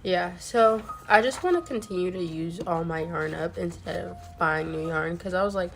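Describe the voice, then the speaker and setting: A woman's voice: on the low side, normal speed, creaky, a little hiss or hum. Young woman with a cold-touched voice. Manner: rambling, lots of "like" fillers. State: voice a bit off from a cold. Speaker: crochet streamer. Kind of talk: casual chat while crafting. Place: home crafting setup. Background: faint music.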